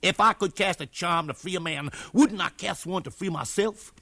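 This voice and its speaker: An actor playing a sly, eerie slave conjurer, chanting and teasing in 1950s radio drama style. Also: male voice, high voice, normal speed, pushed and bright, full character voice. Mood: mocking, suspicious. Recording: remastered 1950s radio broadcast.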